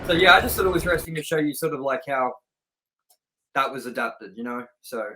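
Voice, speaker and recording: A man's voice: mid-range voice, lively intonation, fast, noisy background. A man co-hosting a movie review show, chatting informally and bluntly, with some swearing. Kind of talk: casual review chat.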